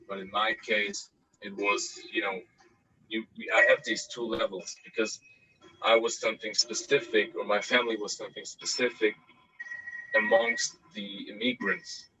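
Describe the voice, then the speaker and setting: A man's voice: fairly low pitch, pushed and bright, husky, low-quality recording. A man from Austria in his thirties, a journalist answering interview questions thoughtfully, with many fillers and restarts, over a video call. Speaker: guest journalist.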